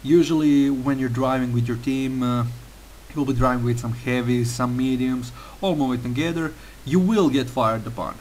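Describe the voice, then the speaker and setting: A young man with a Croatian accent narrates a game tutorial at a mid-range pitch, working through his sentences with frequent pauses and filler sounds. A faint hiss sits under his voice. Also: fairly even intonation, darkish tone. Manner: careful non-native phrasing with frequent uh fillers. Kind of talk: gameplay tutorial voice-over.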